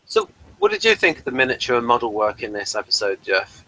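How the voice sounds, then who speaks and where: A man's voice: mid-range voice, huge pitch swings, fast, creaky, pushed and bright, low-quality recording. A British man co-hosting a casual review chat, speaking in a hesitant, inquisitive way. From United Kingdom. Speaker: podcast co-host reviewing a TV episode.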